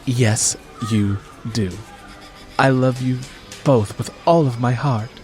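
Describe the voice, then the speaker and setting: A man's voice: mid-range voice, slow pace, a little mellow, soft background music, natural voice. A man voicing an affectionate, outspoken wife and mother in an audiobook story. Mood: warm, affectionate.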